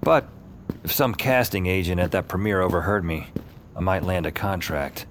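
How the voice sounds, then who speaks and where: A man's voice: low-pitched, normal speed, a little hiss or hum, natural voice. An actor playing a weary, wry man on the run who narrates his night in terse, dry noir audio drama style. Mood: tense, wry. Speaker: lead character and narrator. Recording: music under the voice. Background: dramatic music.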